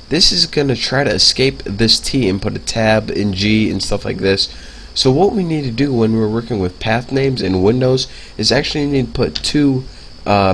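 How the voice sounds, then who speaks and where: A man's voice: medium pitch, a bit bright, a little hiss or hum. A young man with a stuffy nose from a cold, casually talking through a programming lesson as he types, with lots of fillers. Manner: casual, filler-heavy, talks while typing. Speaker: programming tutor. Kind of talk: casual screencast coding lesson.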